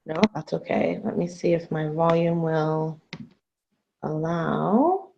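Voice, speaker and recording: A woman in her thirties from Canada giving an earnest, measured webinar talk as a guest speaker over a video call. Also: low-pitched, fairly even intonation, vocal fry, slight background noise. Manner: earnest, measured, repeats phrases for emphasis. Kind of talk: webinar presentation. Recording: muffled video call audio.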